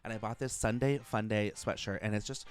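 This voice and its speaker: A man's voice: lowish voice, steady tone, a little fast. An American man in his thirties, chatting casually as he hosts an interview podcast. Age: 30s. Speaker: podcast host.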